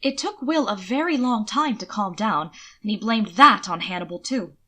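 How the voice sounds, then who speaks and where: A woman narrates a piece of fiction in an expressive, scripted audiobook style. Her voice is bright and forward. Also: mid-range voice, moderate pace.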